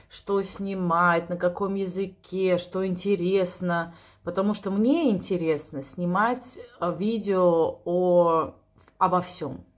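Woman in her 30s, rambling casual vlog to a phone camera in a kitchen, slightly low voice. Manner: chatty, rambling, self-ironic. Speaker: vlogger. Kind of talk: spontaneous casual chat to camera. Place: home kitchen, indoors. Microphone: camera or phone on the table.

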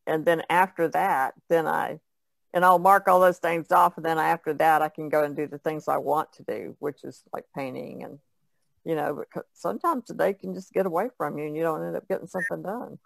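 A woman's voice: deep voice, fairly quick, creaky, dry recording. A middle-aged woman talking casually as a podcast co-host. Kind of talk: casual chat.

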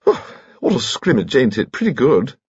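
A man voicing a small, round, cheerful aristocratic gentleman with an extraordinarily high-pitched voice and breezy, old-fashioned chatter. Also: huge pitch swings, moderate pace, husky, no echo, highs cut off, exaggerated voice. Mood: chatty.

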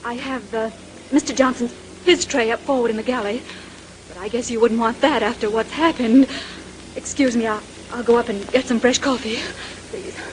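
An actress playing an earnest, polite, slightly flustered stewardess in a 1930s radio drama. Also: female voice, on the high side, fairly even intonation, moderate pace, lightly acted. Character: earnest, dutiful young female air stewardess. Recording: noisy vintage 1930s radio recording.

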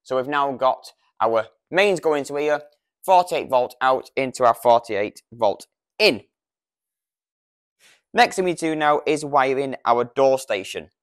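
British guy in his 30s walking through a how-to, mid pitched voice, practical and informal, lapel mic. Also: smooth. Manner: practical, explanatory. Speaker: product demonstrator. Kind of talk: informal step-by-step tutorial. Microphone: lavalier lapel microphone.

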